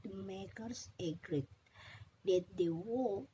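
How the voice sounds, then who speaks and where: A young woman reading a story aloud as a student narrator, with halting, deliberate phrasing and some mispronounced words. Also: mid-range voice, warm tone, a little hiss or hum. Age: young adult.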